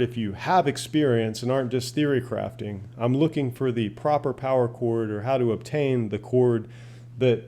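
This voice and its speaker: A man's voice: mid-range voice, gravelly, dark tone. Folksy man, casual demo. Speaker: hobbyist radio reviewer. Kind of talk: casual product demonstration.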